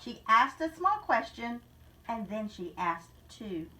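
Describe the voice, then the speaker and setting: A woman reading a rhyming children's picture book aloud in a deliberate, expressive storytelling manner, like a teacher. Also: fairly high pitch, recorded from a distance, rough recording.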